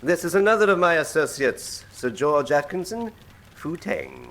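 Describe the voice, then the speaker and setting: An actor playing an urbane, ruthless professor of crime in a radio drama, pompous and mock-courteous. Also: male voice, on the high side, lively intonation, moderate pace, fairly bright tone, slight background noise. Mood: silky menace. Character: urbane, ruthless criminal mastermind, male professor.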